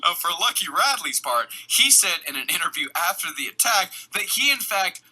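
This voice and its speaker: A man's voice: sits high, very animated, normal speed, bright, forceful tone, breathy edge, poor audio. A man hosting a comedy podcast, moving between prepared narration and casual, emphatic, profane chat. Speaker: podcast host.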